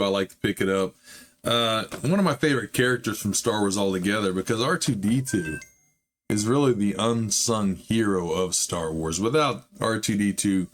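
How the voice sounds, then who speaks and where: A man hosting a hobby model-building channel, talking in a casual, rambling way with frequent fillers, his voice slightly hoarse. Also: on the low side. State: slightly hoarse, recovering from a chest illness. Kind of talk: casual build vlog narration.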